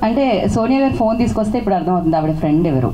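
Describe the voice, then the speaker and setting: A woman's voice: medium pitch, lively intonation, rapid-fire, noisy background. A woman hosting a live stage event in a chatty way, speaking into a microphone. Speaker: event host.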